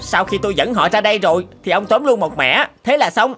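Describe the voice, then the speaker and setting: A woman's voice: mid-range voice, expressive, brisk, a bit bright, slightly rough, faint music behind. A woman voicing a cunning, fawning wild turkey in a cartoon, pleading and persuading. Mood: coaxing, persuasive. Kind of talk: cartoon character acting.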